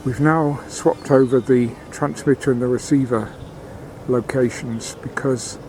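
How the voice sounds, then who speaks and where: A man's voice: fairly even intonation, a little slow. A man giving unscripted, matter-of-fact technical commentary as an amateur experimenter demonstrates equipment. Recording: noisy location sound, vehicle-like background rumble.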